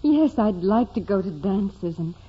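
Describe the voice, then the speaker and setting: A woman playing a devout, humble teenage peasant girl in a 1940s radio drama, speaking simply and sincerely in a soft voice. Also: mid-range voice, animated, a little slow, slightly rough. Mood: humble, earnest. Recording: muffled, thin old radio broadcast.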